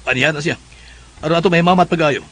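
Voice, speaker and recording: A man's voice: on the high side, fast, very noisy, close to an everyday voice. A man with a Filipino accent acting an approving, hospitable middle-aged father in a radio drama.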